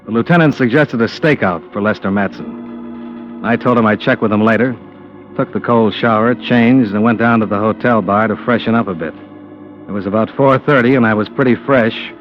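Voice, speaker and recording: An American actor playing a wry, cocky insurance investigator in old radio detective style, dry and matter-of-fact. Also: male voice, fairly low pitch, moderate pace, natural voice. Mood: dry, narrating. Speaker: lead actor and narrator of a radio drama. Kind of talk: 1950s radio detective acting. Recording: dull vintage radio recording, music behind.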